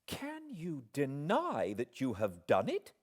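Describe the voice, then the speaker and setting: A man voicing a spirited, indignant young English gentlewoman with an emphatic, articulate, accusing delivery in an audiobook. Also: a little high, wildly swooping pitch, takes it slowly, slightly rough, voice pushed up, full character voice. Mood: angry, challenging. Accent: British.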